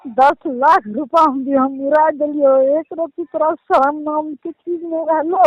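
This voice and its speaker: High-pitched scolding woman on a tinny phone. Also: steady tone, unhurried, no echo. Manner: scolding, reproachful, repetitive. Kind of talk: heated scolding phone conversation. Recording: tinny narrowband phone call recording.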